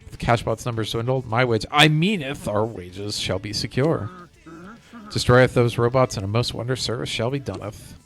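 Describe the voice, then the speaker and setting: A man's voice: mid-range voice, moderate pace, a bit bright, lightly acted. A man voicing a pompous, money-fretting old-timey merchant from a cartoon game, with theatrical archaic phrasing. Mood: pompous, aggrieved. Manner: theatrical mock-archaic speech.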